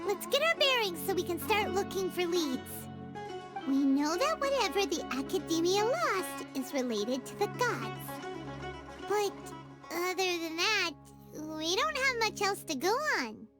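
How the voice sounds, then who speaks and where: A woman's voice: very high-pitched, sing-song, unhurried, heavily acted. A woman voicing a tiny, bubbly female fairy-like companion who talks about herself in the third person, in a game cutscene. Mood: curious. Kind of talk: video game cutscene voice acting. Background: game background music.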